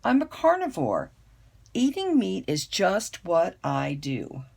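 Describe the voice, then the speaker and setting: An older woman voicing a wise, gentle old male owl in a storybook, speaking in a measured, earnest way. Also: on the low side, huge pitch swings, vocal fry, a little hiss or hum, exaggerated voice. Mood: earnest, reassuring.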